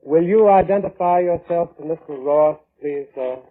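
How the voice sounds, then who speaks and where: Guy playing a calm polite but creepy coroner in an old radio play, very formal and precise, rough husky voice, muffled narrow sound. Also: fairly high pitch, normal speed, close to an everyday voice. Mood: coolly polite. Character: unflappable, courteous, quietly ominous male coroner. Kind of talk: 1940s radio drama acting. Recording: muffled vintage radio recording.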